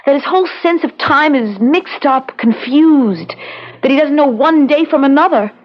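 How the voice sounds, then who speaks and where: High-voiced, worried young woman. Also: lively intonation, moderate pace. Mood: anguished. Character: young woman, caring girlfriend hiding a painful truth. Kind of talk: 1970s radio drama acting. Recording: dull lo-fi old radio recording.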